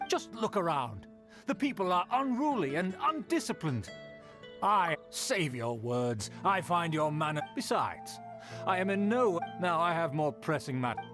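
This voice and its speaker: An actor playing a haughty, condescending aristocrat of a fallen noble clan in a video game, with pompous, florid phrasing. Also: male voice, fairly high pitch, very animated, measured pace. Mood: indignant. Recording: background music.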